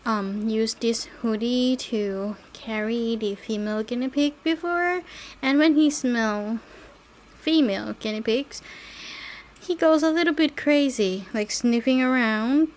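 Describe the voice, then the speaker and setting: A woman's voice: fairly high pitch, measured pace, darkish tone. A woman who is a non-native English speaker, chatting casually and in a rambling, giggly way as she hosts a solo podcast. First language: not English. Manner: rambling, giggly, often trailing into small asides. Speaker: podcast host. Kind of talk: casual solo chat with personal anecdotes.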